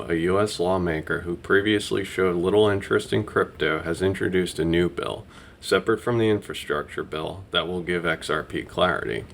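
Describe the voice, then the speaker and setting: A man's voice: sits low, creaky, a bit bright, a little hiss or hum. A man giving scripted news commentary as a video host.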